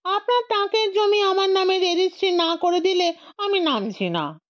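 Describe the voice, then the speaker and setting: A woman's voice: very high voice, lively intonation, moderate pace, dry recording, lo-fi sound, voice pushed up. A woman voicing a cheeky, stubborn male ghost with a brazen, cajoling manner in a comic audio story. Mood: smug, stubborn.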